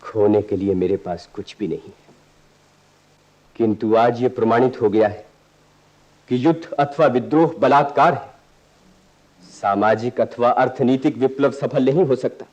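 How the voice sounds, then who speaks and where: A man's voice: medium pitch, measured pace, long pauses, a little mellow, natural voice. An actor playing an earnest, idealistic young man in a radio play, speaking in lofty, poetic, declamatory lines. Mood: impassioned. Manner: lofty, declamatory, literary diction. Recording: dull archival radio recording.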